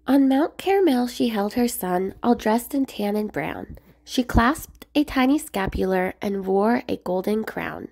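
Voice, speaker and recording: A woman reading a rhyming children's picture book aloud in a measured, expressive sing-song way. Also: medium pitch, gravelly. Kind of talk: storybook read-aloud narration of rhyming verse.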